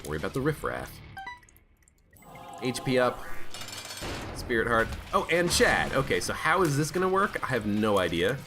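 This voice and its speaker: A man with a stuffed-up, hoarse voice, chatting casually as he plays a video game. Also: on the low side. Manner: chatty, thinking aloud while playing. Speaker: gaming channel host. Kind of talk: casual let's play commentary. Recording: background game music.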